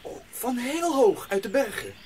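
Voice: mid-range voice, big pitch swings, a little slow, darkish tone. A performer playing a blunt, reluctant young goatherd boy in a children's audio drama. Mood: grumbling. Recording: noisy outdoor ambience with birdsong.

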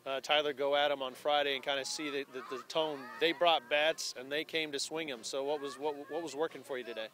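Male interviewer, conversational and rambling, bright tone, recorded outdoors on a phone. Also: on the high side, steady tone, a little fast, hardly any pauses, slight background noise. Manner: conversational, rambling questions with restarts. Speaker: postgame interviewer. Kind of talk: postgame interview. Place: outdoors beside a baseball field.

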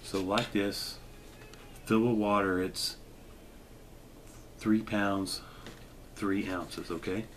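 A middle-aged man with a fairly low voice talks in a relaxed, chatty way and often fills pauses with "uh" and "um." Clear background noise from the outdoors sits under his voice on camera audio. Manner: relaxed, chatty, with frequent fillers. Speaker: gear reviewer. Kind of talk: casual show-and-tell demonstration. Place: indoors at a table, then in a backyard.

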